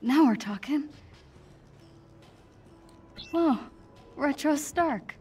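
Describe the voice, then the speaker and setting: A woman's voice: high-pitched, lively intonation, extremely slow, a little mellow, faint music behind, full character voice. An actress playing a nerdy, eager teenage girl sleuth muttering to herself in a video game. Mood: curious, excited. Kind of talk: video game cutscene acting.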